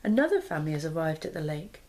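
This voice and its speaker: A woman in her thirties reads a picture book aloud. Her voice sits on the lower side and moves up and down with lots of expression. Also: big pitch swings, quick pace, darkish tone. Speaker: mother and parenting vlogger. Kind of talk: expressive children's storybook reading. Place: small living room, indoors.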